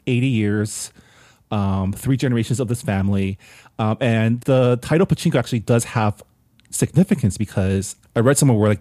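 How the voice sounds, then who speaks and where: A man's voice: on the low side, mellow, no echo. A man co-hosting a podcast, explaining things in a matter-of-fact, casual way. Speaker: podcast co-host. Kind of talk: casual chat.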